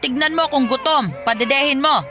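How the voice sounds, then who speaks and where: An actress playing a stern, scolding older mother-in-law in a radio drama, sharp-tongued and sarcastic. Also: high voice, fairly quick, noisy background, natural voice. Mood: irritated, scolding. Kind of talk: Tagalog radio drama acting. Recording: music behind.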